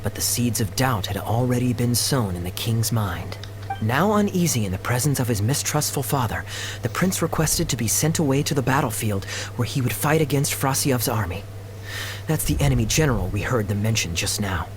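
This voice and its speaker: An actor voicing a calm, courteous, learned man in a video game, speaking in a measured, articulate way. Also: medium pitch, lightly acted. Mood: calm, explanatory. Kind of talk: storytelling video-game dialogue. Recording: noisy background ambience behind game dialogue.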